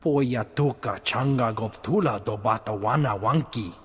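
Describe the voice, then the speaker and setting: A man's voice: medium pitch, a little slow, close to an everyday voice. A man voicing an alien informant who chatters in an alien language, in a video game cut-scene. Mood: chattering. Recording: long reverb with game music.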